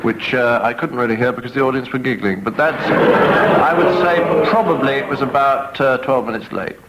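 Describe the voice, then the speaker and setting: A British man improvising comic talk as a panel show contestant, deadpan and halting. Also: mid-range voice, heavy background noise. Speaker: comedy panellist.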